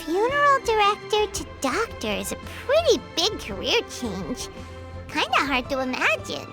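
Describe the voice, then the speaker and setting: A squeaky little companion creature. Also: female voice, huge pitch swings, moderate pace, over loud music, heavily acted. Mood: curious. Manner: bubbly, inquisitive. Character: tiny chirpy female companion creature, curious. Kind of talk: game dialogue.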